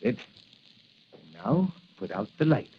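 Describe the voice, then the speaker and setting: An actor playing a kindly, worried apothecary and inventor who mutters to himself, in 1940s radio style on a dull, hissy old recording. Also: male voice, mid-range voice, big pitch swings. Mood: musing, concerned. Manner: musing, deliberate, muttering.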